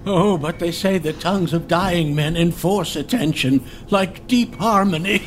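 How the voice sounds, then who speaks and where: A man playing a dying old nobleman, fervent and prophetic, speaking breathy, laboured verse in a Shakespearean radio drama. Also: sits high, unhurried, a little hiss or hum. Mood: weak, hopeful. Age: older.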